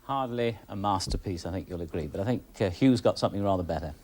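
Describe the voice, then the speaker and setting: Genteel British man with animated intonation. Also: deep voice, fast, slight background noise. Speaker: television presenter. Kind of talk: informal expert presentation to camera.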